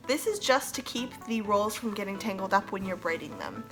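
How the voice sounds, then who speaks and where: Young female DIY host, stuffy-nosed from a cold, casual and self-deprecating, over loud backing music. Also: medium pitch, expressive, a little fast. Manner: chatty, self-deprecating, laughs between phrases. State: congested from a cold, with a cough. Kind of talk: casual tutorial narration.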